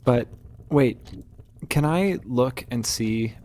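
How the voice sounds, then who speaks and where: A man's voice: medium pitch, takes it slowly, mellow. A man playing a tabletop game, talking casually in character with the others at the table. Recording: faint background room noise.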